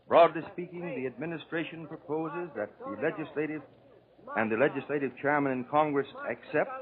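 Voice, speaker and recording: An American man giving formal political commentary on 1930s radio, speaking in measured, emphatic, oratorical phrases. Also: on the high side. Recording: old 1930s radio broadcast, narrow tinny band.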